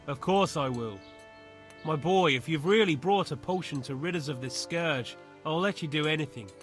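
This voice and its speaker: An actor playing a troubled, emotional village husband in a video game. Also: male voice, on the high side, normal speed, fairly bright tone, close to an everyday voice. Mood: grateful. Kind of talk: dramatic video game dialogue. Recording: music clearly under the voice.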